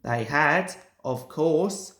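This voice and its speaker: A man's voice: a little high, animated, extremely slow, a bit bright. A young man reading a children's story aloud as a narrator. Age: young adult. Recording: roomy, echoing home recording.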